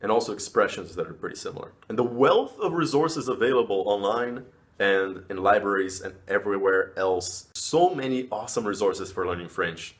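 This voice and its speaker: Upbeat man, lowish voice. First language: Portuguese. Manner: upbeat and encouraging, pitch jumps up on key words. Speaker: language vlogger. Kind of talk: casual advice talk to camera.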